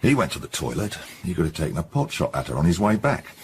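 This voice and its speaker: Gruff English chief inspector, very low voice, blunt, colloquial, radio drama, audible background noise. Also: male voice, big pitch swings. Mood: reasoning. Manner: blunt, colloquial, brisk summing-up. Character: gruff, no-nonsense English police chief inspector, middle-aged man. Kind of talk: radio detective drama acting.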